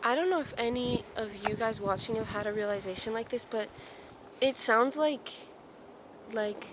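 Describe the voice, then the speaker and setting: A woman's voice: on the high side, moderate pace. A woman in her twenties talking through her thoughts in a casual outdoor vlog, musing and full of "like". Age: 20s. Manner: musing, says "like" a lot. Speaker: vlogger. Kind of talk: reflective casual vlog talk. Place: outdoors in a forest. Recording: outdoor noise behind her.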